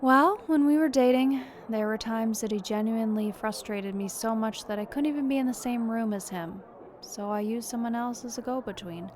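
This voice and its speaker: An actress playing a candid, supportive friend in an audio drama, with a frank, conversational delivery. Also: female voice, fairly high pitch, moderate pace, a little hiss or hum, natural voice. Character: candid, supportive female friend working in law enforcement.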